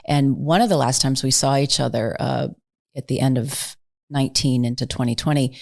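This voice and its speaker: A woman's voice: extremely low pitch, moderate pace, gravelly, a little mellow, no room sound, studio quality. A woman hosting a conversational interview, effusive and leaning on words for emphasis. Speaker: podcast host, interviewer.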